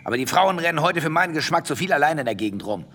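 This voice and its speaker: A man's voice: fairly high pitch, expressive, very fast, fairly bright tone, slight background noise. An actor playing an arrogant, old-fashioned rich sportsman in a radio play, brusque and disdainful. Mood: indignant. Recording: faint music behind the voice.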